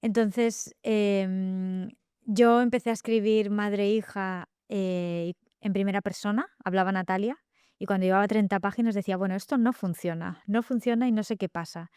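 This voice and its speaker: A middle-aged woman with a Catalan accent, a novelist talking reflectively in an interview, often pausing with fillers and tag questions. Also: mid-range voice, steady tone, darkish tone, clear, resonant tone, no room sound, studio quality. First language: Spanish. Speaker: guest novelist. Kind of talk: thoughtful interview talk.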